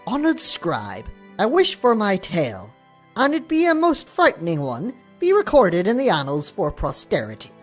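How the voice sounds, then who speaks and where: A woman's voice: mid-range voice, huge pitch swings, moderate pace, soft background music. A woman voicing a distressed young maiden who pleads in archaic, formal language in an audio story. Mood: pleading, troubled.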